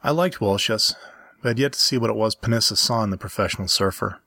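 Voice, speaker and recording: Husky low-voiced man reading a story. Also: quick pace, bright, forceful tone, no room sound, clean studio sound. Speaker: amateur story reader. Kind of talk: first-person fiction narration with character voices.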